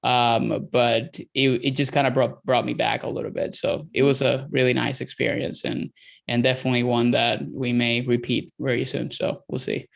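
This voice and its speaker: A man's voice: medium pitch, flat delivery, moderate pace, creaky. A man from Venezuela in his thirties with a Venezuelan accent, a dietitian guest talking casually in an interview, rambling with many fillers and restarts.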